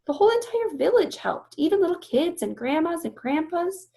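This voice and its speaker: Woman voicing an eager high-pitched baby elephant girl. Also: very animated, moderate pace, vocal fry, dark tone, full character voice. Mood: excited, insistent. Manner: emphatic, childlike storytelling. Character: eager young female baby elephant, earnest and insistent.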